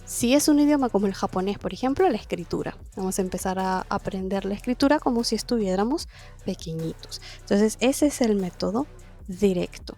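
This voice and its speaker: Woman teacher going through a language lesson, warm mellow voice, keeps asking "why?" and answering it, bit of quiet music under her. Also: mid-range voice, expressive, brisk. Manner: conversational, with rhetorical questions. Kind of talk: explaining a lesson to camera.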